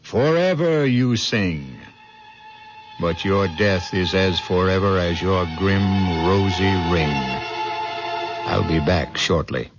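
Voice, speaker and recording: Male mystery host: deep, deliberate, ominous narration over loud music. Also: lively intonation. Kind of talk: 1970s radio mystery narration. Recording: dull vintage radio recording, loud music.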